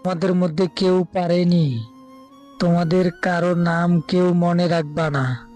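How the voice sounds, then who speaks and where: A stern male teacher, voiced by a woman, scolding and dismissive in an audio story. The voice is dark and mellow, with soft music behind it. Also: fairly low pitch, steady tone, measured pace, close to an everyday voice. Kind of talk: dramatized audio story dialogue.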